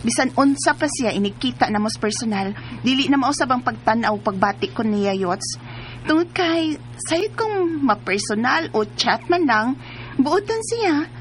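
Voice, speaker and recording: A woman playing a lovestruck, excitable young Filipina in a radio drama, expressive, with a Cebuano accent. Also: high-pitched, measured pace, slight background noise, low-quality recording. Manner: gushing, expressive.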